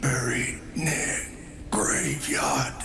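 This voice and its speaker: An actor playing a lifeless corpse in a video game, answering in halting fragments with a whispery rasp. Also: male voice, mid-range voice, sing-song, heavy background noise. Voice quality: whispery, rasping. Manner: halting, fragmented short phrases. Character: male corpse raised to answer questions, lifeless.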